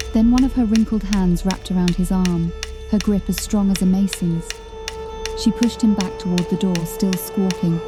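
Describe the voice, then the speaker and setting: A woman reading a scripted horror story as an audiobook narrator, in a dramatic storytelling style. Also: mid-range voice.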